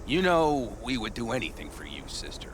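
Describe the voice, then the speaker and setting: An actor playing a wry, easygoing nomad and loyal old friend in a video game, with a folksy way of talking. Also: male voice, lowish voice, very animated, normal speed, noisy background. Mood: apologetic. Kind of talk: cinematic video game voice acting.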